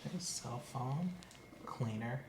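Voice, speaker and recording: A man playing a patient in an ASMR exam, giving brief, quiet, compliant answers. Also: mid-range voice, expressive, takes it slowly, roomy sound, noisy background. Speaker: patient in a role-play.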